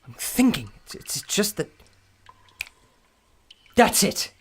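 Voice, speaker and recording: A man's voice: sits high, sing-song, slow, a little hiss or hum, full character voice. An actor playing a nervous, kidnapped male scientist who stammers anxiously.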